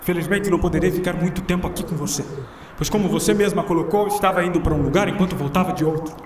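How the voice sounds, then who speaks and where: A distant, echoing voice of an enigmatic time traveler acted by a man, with clear noise around it. He muses in formal, theatrical phrases, as in a radio play. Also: high-pitched, quick pace, close to an everyday voice. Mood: cryptic. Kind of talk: scripted radio drama acting. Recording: echoey, distant, noisy ambience behind.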